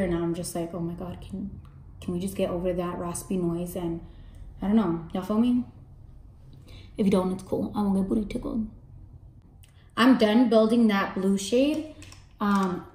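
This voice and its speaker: A young woman with a mellow, slightly raspy voice that sits deep for a woman. She chats casually to her viewers in slang, with candid asides, while she does her makeup at home. The room adds a clear echo to her voice. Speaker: makeup artist and beauty vlogger. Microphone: camera in front, face framed close.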